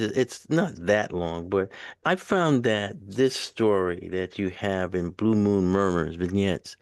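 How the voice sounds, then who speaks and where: A man's voice: on the low side, no room sound, clean studio sound. A male interviewer asking rambling questions with frequent restarts. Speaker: interviewer, host.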